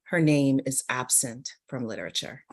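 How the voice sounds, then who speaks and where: A woman giving a deliberate, measured literary reading of scripted narration and poetry. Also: very deep voice.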